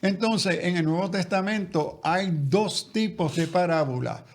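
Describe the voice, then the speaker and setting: Slow, careful pastor explaining theology. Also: male voice, high-pitched. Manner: slow, careful, lecturing with restarts. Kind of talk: explanatory interview answers.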